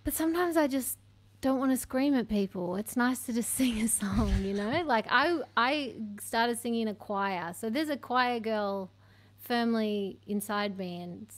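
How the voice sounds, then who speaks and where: Australian woman, high voice, slow easy talk, chatty, laughs a lot, studio mic at home. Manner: chatty, self-deprecating, laughs often. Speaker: singer-songwriter guest. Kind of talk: casual interview chat. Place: home room lined with bookshelves. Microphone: studio mic on stand, headphones on.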